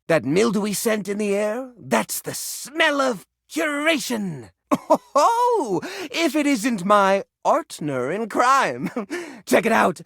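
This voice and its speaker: A man voicing a video game character, a fussy and excitable junk drawer who curates his collection, theatrical and full of folksy old-fashioned exclamations. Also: high voice, creaky, breathy edge, no echo, clean studio sound, full character voice.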